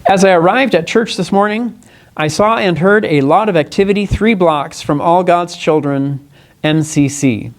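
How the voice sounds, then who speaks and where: A man giving a prepared press conference statement, speaking in an earnest, deliberate way with halts and self-corrections. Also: high-pitched. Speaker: congressional candidate. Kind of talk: press conference statement, partly read aloud.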